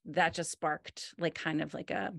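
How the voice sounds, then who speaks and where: A woman in her forties, an artist, talking in a relaxed, thoughtful way with many fillers and restarts, on a video call from a wood-panelled room at home. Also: low voice, measured pace, gravelly, no echo. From United States. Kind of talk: conversational interview.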